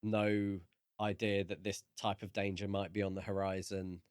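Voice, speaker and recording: A man co-hosting a podcast, discussing a book casually in halting, thoughtful phrases. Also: low voice, little pitch movement, smooth, no echo, clean studio sound. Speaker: podcast co-host.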